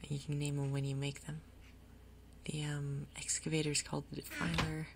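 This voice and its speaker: A woman giving soft-spoken ASMR game commentary in a breathy, gentle, unhurried way. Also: low-pitched, flat delivery, heavy background noise. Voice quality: breathy, whispery. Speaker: gaming video host.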